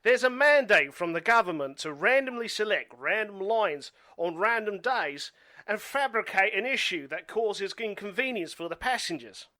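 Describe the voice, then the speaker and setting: A man plays a blunt survivalist full of conspiracy theories in an amateur radio play. His voice is high for a man, sharp and forceful, and recorded very dry. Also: lively intonation, moderate pace, no echo. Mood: conspiratorial. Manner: blunt, assertive, know-it-all.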